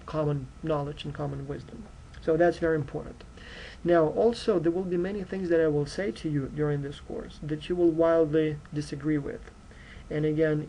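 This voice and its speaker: Male university lecturer, Ukrainian accent, slightly higher voice, flat delivery, mellow tone. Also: a little hiss or hum, rough recording. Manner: earnest, direct, frequent fillers like uh and you know. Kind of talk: recorded course introduction lecture.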